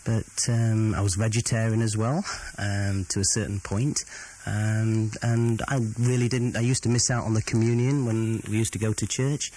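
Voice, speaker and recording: A man's voice: on the low side, flat delivery, normal speed, dark tone, poor audio. A middle-aged man reminisces in an interview. He speaks hesitantly, stopping often to gather the next phrase. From England (Sheffield). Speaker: interviewee, business owner. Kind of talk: reminiscing in an oral history interview.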